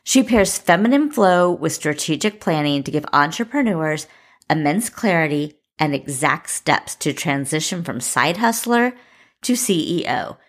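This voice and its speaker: A woman's voice: low voice, lively intonation, measured pace. A woman hosting an interview podcast, introducing a guest and asking questions.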